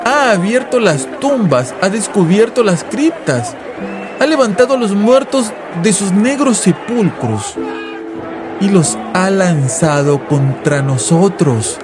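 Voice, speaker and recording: A man's voice: sits high, expressive, takes it slowly, over music, crowd noise, voice pushed up. A man voicing a fanatical, wrathful revival preacher in a radio drama, declaiming apocalyptic warnings in incantatory repetitions. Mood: wrathful, fervent.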